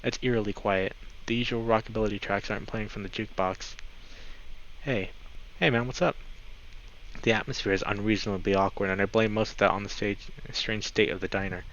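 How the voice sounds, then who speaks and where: A man's voice: fairly low pitch, level tone, fast talker, few pauses, fairly bright tone, a little hiss or hum. A man narrating a visual novel aloud for a let's play, occasionally stumbling and correcting himself. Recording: slightly dull mic, music behind. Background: clear game music.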